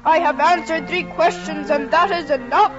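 A woman's voice: high-pitched, steady tone, normal speed, pitched below the performer's own voice, natural voice. A woman voicing a stern elderly father in a recited verse, in a dull old film recording with music behind. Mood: gruff. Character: gruff elderly father answering his son.

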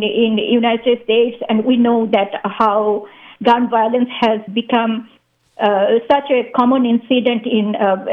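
A woman from Bangladesh, a guest speaking carefully with many hesitations over the phone. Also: fairly high pitch. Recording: phone line.

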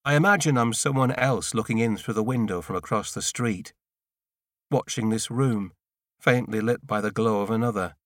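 A man reading a first-person literary audiobook in a measured way, in short deliberate phrases. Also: on the low side, no room sound, clean studio sound. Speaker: audiobook narrator.

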